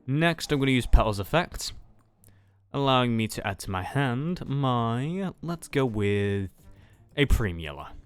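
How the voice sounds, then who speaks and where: A man's voice: medium pitch, very animated, measured pace, clean tone, soft background music. A man talking casually through his moves as a player in a roleplay card duel. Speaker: card game duelist player.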